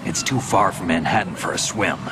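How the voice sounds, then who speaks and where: An actor playing a cool, weary seasoned soldier in a video game, speaking in a terse, dry, laid-back way. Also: male voice, sits low, fairly quick, background noise, close to an everyday voice. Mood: matter-of-fact. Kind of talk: dramatic video game voice acting.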